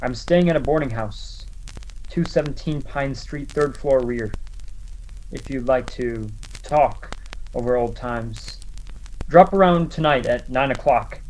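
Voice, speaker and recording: Over a faintly noisy recording, a menacing blackmailer, played by a man, voices a letter in a radio play. His medium-pitched voice is deliberate and coolly taunting, each sentence a measured threat. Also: a little mellow, natural voice. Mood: cool menace. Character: menacing male blackmailer, coolly taunting former store detective. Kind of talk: radio play acting, letter read in character.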